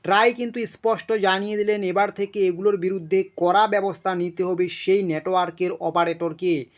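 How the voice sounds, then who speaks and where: A man's voice: high voice, fairly even intonation, fairly quick. A man presents an informal news explainer, speaking emphatically, repeating himself and checking in with his listeners. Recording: dull lo-fi sound.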